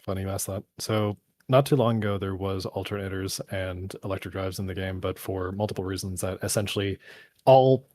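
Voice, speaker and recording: Low-voiced, tiring game developer. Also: male voice, steady tone, a little fast, dark tone. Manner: rambling, technical, thinking aloud. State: voice getting tired after three hours. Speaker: game developer answering community questions. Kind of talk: casual live developer Q&A.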